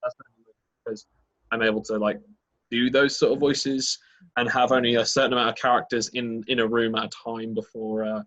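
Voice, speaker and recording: A man's voice: mid-range voice, little pitch movement, fairly quick, a bit bright, highs cut off. A man talking casually about voicing characters as a game master, rambling with many fillers and restarts. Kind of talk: casual podcast chat.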